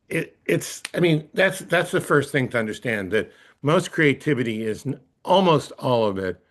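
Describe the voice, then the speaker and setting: A man answering as a coach in a conversational interview, thinking aloud in a thoughtful, halting way with repeated phrases. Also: on the low side.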